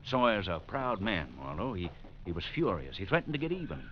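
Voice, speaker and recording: Blustering ranch owner, wildly swooping pitch. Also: male voice, a little fast. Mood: anxious, pleading. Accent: American. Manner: hearty, fussy, excitable. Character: blustering, anxious, folksy male guest-ranch owner. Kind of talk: 1940s-50s American radio drama acting. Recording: dull, noisy vintage radio recording.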